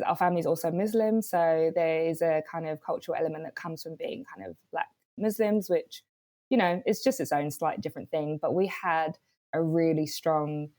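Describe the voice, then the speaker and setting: A woman raised in London, with Swahili as her first language, speaks as a podcast guest. Her voice sits low, and the sound is dry and close. She talks casually and animatedly, laughing now and then as she tells stories. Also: warm tone, no echo. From London, England. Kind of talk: casual conversation. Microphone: video call.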